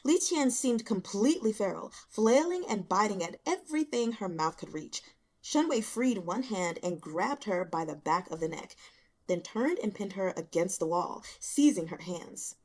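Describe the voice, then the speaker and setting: A woman reading fiction aloud as an audiobook narrator, in an expressive storytelling style. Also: medium pitch, normal speed. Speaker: audiobook reader.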